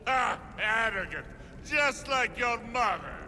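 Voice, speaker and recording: An actor playing a brutal, vulgar crime lord in a video game, with a sneering, threatening delivery. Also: male voice, very high voice, animated, slow, a little hiss or hum, exaggerated voice. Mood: sneering menace. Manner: sneering, foul-mouthed, threatening. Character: brutal, vulgar, menacing male crime lord of an ancient city. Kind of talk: video game cutscene acting. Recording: long reverb, loud music behind.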